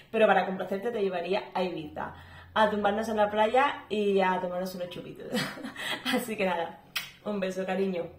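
Chatty woman in an echoey bedroom. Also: mid-range voice. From Cuba. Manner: chatty, talks a lot, frequent fillers. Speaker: home vlogger. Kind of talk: casual Q&A talk to camera. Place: bedroom at home, indoors. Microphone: camera at desk distance. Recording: echoey room with faint music.

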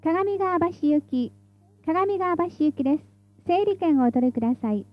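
A woman's voice: very high-pitched, animated, a little slow, no echo, muffled recording. A woman reading scripted tram announcements in a formal, polite, carefully enunciated way, as the stop announcer.

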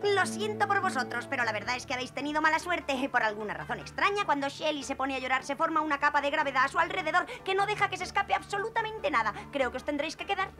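A woman voicing a small, talkative, excitable space creature in a Spanish cartoon dub. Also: sits high, quick pace, few pauses, faint music behind. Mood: regretful.

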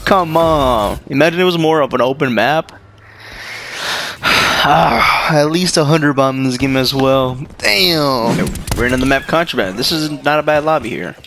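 A young man giving live gameplay commentary, casual and slangy, who bursts into excited shouts and says his voice runs deeper after waking. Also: unhurried, a little hiss or hum. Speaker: gaming YouTuber.

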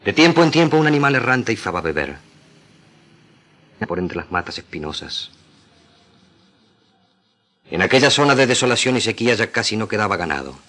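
Low, forceful Argentine man reading dramatically. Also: sing-song, normal speed, long pauses. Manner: solemn, declamatory. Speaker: radio actor reading literature. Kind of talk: dramatic literary reading for radio.

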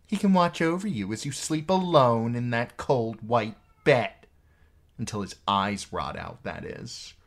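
A man plays a highborn woman from a storybook, proud and mocking. The voice is lifted above his own and swoops on taunting emphasis, full of bitter scorn. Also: lively intonation, a little slow. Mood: mocking, scornful.

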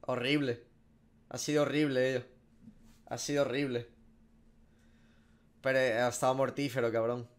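A man's voice: medium pitch, flat delivery, normal speed, pauses often, clear, resonant tone. A man, giving casual, profanity-laced reaction commentary as a gaming streamer. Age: 20s. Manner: slangy, profane. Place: small room at home.

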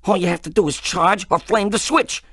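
A man's voice: sits high, normal speed, a bit bright, slightly rough, dry recording, studio quality, heavily acted. A man voicing a nervous, dramatic talking pig in a cartoon video game. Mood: urgent. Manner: anxious, dramatic. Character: nervous, fretful talking pig, a captive on vacation.

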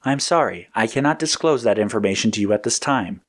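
A man puts on the voice of a mission-control computer in an audio story. It is polite and precise, keeping a formal, measured manner that nothing ruffles. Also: lowish voice, lively intonation. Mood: polite, unmoved. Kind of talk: acted audio story dialogue.

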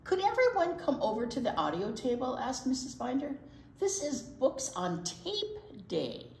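An older woman reading a picture book aloud to children in an expressive way, with asides to young listeners. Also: high-pitched, a little slow, slight background noise. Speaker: library storytime reader. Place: indoor library storytime set. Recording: roomy indoor sound.

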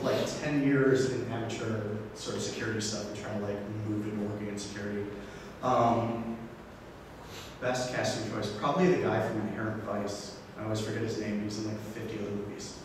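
A man, a college professor, introducing himself casually and searching for words. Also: fairly low pitch. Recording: echoey room, far mic, hiss.

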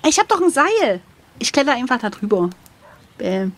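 Female player in a gamebook session, fairly high voice, informal, joking, quick to exclaim. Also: very animated. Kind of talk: casual playful chat.

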